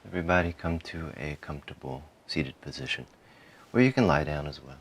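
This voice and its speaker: A man guiding a meditation in a calm, soothing manner, giving soft instructions in short phrases with frequent pauses. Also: very deep voice, slightly rough. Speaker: meditation guide. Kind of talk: guided meditation, softly spoken instruction.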